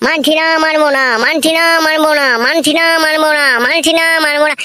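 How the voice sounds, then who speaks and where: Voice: high-pitched, flat delivery, fast talker, pushed and bright, no room sound. An actor voicing an earnest, rousing cartoon schoolboy from a poor cowherd home, speaking in a repetitive, declamatory way. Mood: indignant, chanting. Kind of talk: comic cartoon speech with chanted slogans.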